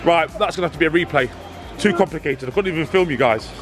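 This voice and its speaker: A man's voice: fairly high pitch, fast, over loud music. A man hosting a family vlog, calling out items and cheering on two girls in a supermarket. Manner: animated, laughing, shouting names and items. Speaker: vlog host filming a challenge. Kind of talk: excited family vlog commentary. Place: large supermarket, indoors.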